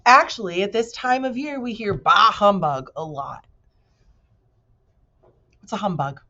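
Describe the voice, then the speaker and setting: A woman working as a teacher, reading a storybook aloud to students and pausing to explain words in a casual, direct way. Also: fairly high pitch, sing-song, a bit bright. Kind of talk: storybook read-aloud with conversational asides. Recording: dull lo-fi recording.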